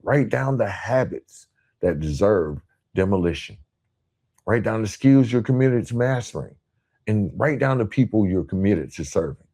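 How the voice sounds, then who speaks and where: Low, bright-toned motivational man. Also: animated, normal speed. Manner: emphatic, earnest, builds repeated phrases in parallel. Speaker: podcast host. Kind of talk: motivational monologue.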